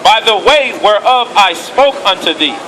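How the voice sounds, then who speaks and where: Very high-voiced man reading scripture. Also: lively intonation, noisy background. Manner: slow, measured reading of old scripture wording. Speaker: scripture reader. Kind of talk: reading Bible verses aloud. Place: outdoors on a city street.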